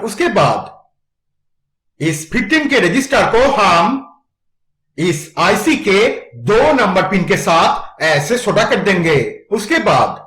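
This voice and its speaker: A man's voice: high voice, a bit bright, echoey room. A man giving a methodical, instructional step-by-step narration for an electronics tutorial.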